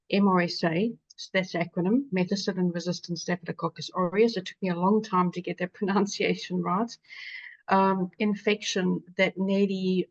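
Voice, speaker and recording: A woman's voice: lowish voice, dull audio. A woman with a South African accent speaking earnestly as a patient advocate on a webinar panel, with many fillers and restarts.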